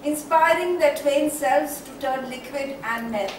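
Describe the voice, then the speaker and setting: High-pitched, dramatic poetess played by a woman for a stage play, declamatory and whimsical, faint background noise. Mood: musing, grandiose. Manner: declamatory, dramatic, with emphatic repeats and drawn-out phrases. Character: theatrical, whimsical female poet musing aloud on art. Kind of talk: theatrical stage monologue, poetic recitation. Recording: distant, very echoey hall, faint noise.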